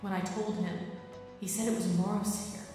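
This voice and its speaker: A woman's voice: medium pitch, level tone, moderate pace, warm tone, lightly acted. Audio drama mother dragon, played by a woman: earnest, sorrowful, hesitant; long echoing reverb, music beneath. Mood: troubled, sad. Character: sorrowful mother dragon recalling a lost love.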